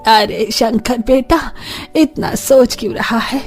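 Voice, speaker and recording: A woman voicing a calm old grandmother in a cartoon story, speaking slowly and reassuringly. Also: a little high, soft background music. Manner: slow, reassuring. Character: calm, reassuring elderly grandmother of a poor family.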